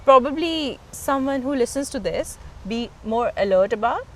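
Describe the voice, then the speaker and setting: A woman's voice: sits high, animated, moderate pace, a little hiss or hum. A woman hosting a casual interview, encouraging her guest and agreeing often. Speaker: interviewer, host.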